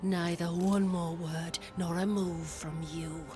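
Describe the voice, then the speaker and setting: An actress playing a resolute mutineer in a video game, stern and commanding. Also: female voice, lowish voice, little pitch movement, takes it slowly, over music. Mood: righteous, threatening. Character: resolute female mutineer demanding justice. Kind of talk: dramatic video game acting.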